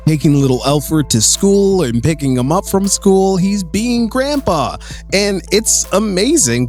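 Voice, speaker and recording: A man's voice: fairly high pitch, a little mellow. A man hosting a comics recap, retelling the story in a casual, conversational, enthusiastic fan commentary. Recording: voice with music underneath. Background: music.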